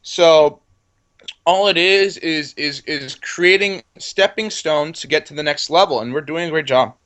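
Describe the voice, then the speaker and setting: Young male entrepreneur in his twenties, confident and self-assured, somewhat high voice, stumbles over his sentence openings. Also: measured pace, a bit bright. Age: 20s. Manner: confident, repeats words when starting sentences. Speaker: entrepreneur guest. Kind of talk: casual interview talk.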